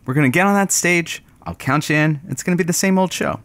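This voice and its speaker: A man's voice: fairly high pitch, quick pace, no room sound, close to an everyday voice. A man playing a joking, friendly radio producer and sidekick, bantering casually in an audio drama. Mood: upbeat, reassuring. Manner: bantering, excitable.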